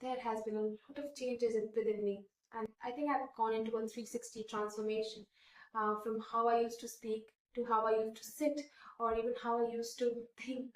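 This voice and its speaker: A woman's voice: fairly high pitch, roomy sound, far from the mic, rough recording. A woman in her twenties from India whose first language is Hindi, earnestly answering interview questions with many fillers and restarts. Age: 20s.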